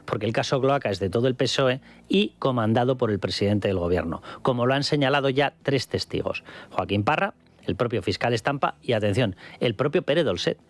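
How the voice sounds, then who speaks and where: A man's voice: mid-range voice, expressive, fairly quick. A man from Spain delivering combative, sarcastic political commentary on a radio news show. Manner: combative, sarcastic, emphatic repetition.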